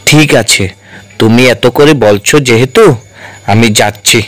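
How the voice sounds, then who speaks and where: A man's voice: mid-range voice, a little slow, close to an everyday voice. A man playing a humble, polite Brahmin pundit in a fairy-tale cartoon. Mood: hesitant, agreeing.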